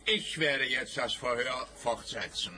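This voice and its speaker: An actor playing a blustering, domineering police interrogator in a radio crime comedy, barking or turning mock-gentle. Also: male voice, medium pitch. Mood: irritated, barking. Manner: barking, switching to slow mock-gentle sing-song.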